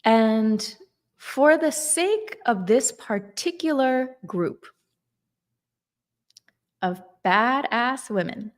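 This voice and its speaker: Woman coach talking slow and emphatic, kinda high voice, motivational vibe. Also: expressive. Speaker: manifestation coach. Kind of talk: motivational talk.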